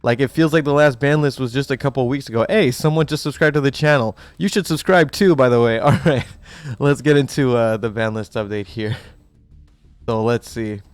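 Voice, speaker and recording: A young man hosting a gaming channel, chatting in a rambling, excitable way while sick with a cough, with a mic set close to him. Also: medium pitch, fairly quick, smooth, dry recording. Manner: excitable, rambling, swears casually. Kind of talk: casual reaction commentary. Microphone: desk mic set too close.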